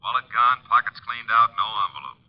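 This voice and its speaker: Brisk sleuth's legman , male actor, mid-pitched, clipped factual reports, muffled vintage radio sound. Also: animated, natural voice. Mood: terse, grim. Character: brisk, matter-of-fact male detective's assistant. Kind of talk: 1940s-50s radio mystery acting. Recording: muffled old radio recording.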